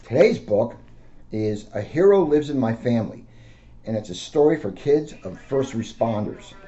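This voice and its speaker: Mellow-voiced man, dull lo-fi recording. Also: medium pitch, expressive, normal speed. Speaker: police officer reading a picture book to children. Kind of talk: read-aloud storytelling with casual asides. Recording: dull lo-fi sound.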